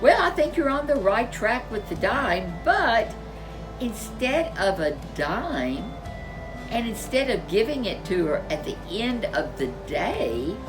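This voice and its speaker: A wise, kindly old grandmother bear from a children's storybook , voiced by a woman. The voice is lifted high and swoops freely as she gushes and muses. Music plays behind. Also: very animated, takes it slowly, recorded from a distance, low-quality recording. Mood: kindly, chatty. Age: older.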